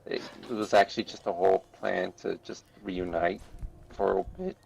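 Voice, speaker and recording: Male podcast guest, fairly deep and creaky, halting informal talk. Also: steady tone, darkish tone. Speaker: podcast participant giving opinions. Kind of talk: casual chat.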